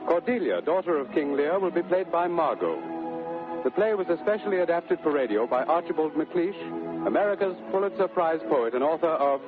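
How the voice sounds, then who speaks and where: A man's voice: a little high, expressive, fairly quick. A man announcing for 1930s network radio, reading scripted lines in a formal, declamatory style. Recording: tinny 1930s radio recording over loud music.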